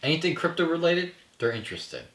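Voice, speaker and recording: A man's voice: on the high side, very animated, fast, fairly bright tone. Man explaining his film in an echoey room. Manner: explanatory, often ends points with "right?". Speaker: filmmaker answering questions. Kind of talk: casual post-table-read discussion.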